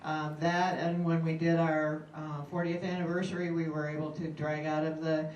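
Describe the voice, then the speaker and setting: A woman's voice: sits low, little pitch movement, a little mellow, highs cut off, a little hiss or hum. Rambling woman reporting from the floor. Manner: rambling, halting, with many fillers. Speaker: club member reporting. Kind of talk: casual spoken report. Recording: roomy hall, speaker far from mic.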